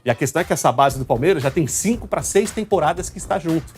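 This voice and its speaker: Fast-talking Brazilian football show host, male. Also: fairly high pitch, animated, a little mellow. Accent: Brazilian, São Paulo state. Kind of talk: casual live football talk show commentary. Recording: music under the voice. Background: background music.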